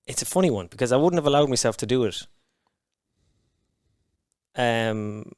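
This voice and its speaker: A man's voice: mid-range voice, expressive, darkish tone, no room sound, studio quality. A man speaking candidly as a podcast guest, swearing often and restarting his sentences. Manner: frank and heavy-swearing, halting restarts. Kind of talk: candid conversation.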